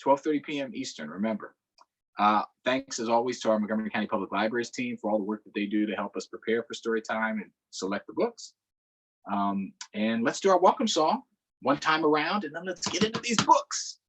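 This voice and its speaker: Children's storytime host: American man, husky mid-range voice, upbeat, casual and conversational. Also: medium pitch, a little fast, fairly bright tone, rough recording. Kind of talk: casual livestream talk to children.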